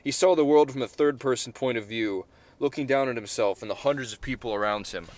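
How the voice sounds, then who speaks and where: A man's voice: mid-range voice, fairly quick, darkish tone, dry recording. Brief: male author reading his short story, casual and unpolished. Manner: casual, with profanity and self-corrections.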